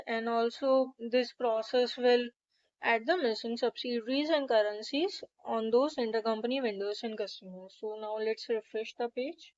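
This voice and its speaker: High-voiced woman, dull lo-fi recording. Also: darkish tone. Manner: methodical, instructional. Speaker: software tutorial presenter. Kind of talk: step-by-step screen tutorial narration.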